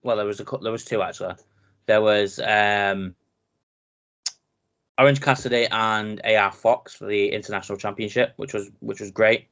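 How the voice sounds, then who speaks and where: A man in his thirties with a very deep voice, co-hosting a casual wrestling chat podcast. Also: normal speed, gravelly, lo-fi sound. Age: 30s. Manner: relaxed, chatty, laughs easily. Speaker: podcast co-host.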